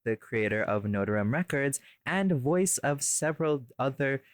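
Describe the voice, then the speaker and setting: A man hosts an unscripted podcast in a mid-pitched voice with a dry, close sound. He rambles and banters, often correcting himself and putting on a playful show-host formality. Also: expressive, normal speed, dry recording. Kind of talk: casual unscripted podcast chat, playful mock-formal introductions.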